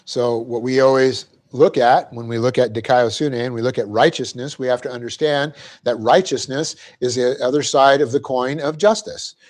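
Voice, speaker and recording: A man teaching a Bible class, explaining Greek grammar step by step, spelling out words and clearing his throat often. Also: medium pitch, steady tone, normal speed, darkish tone. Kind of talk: verse-by-verse Bible class lecture.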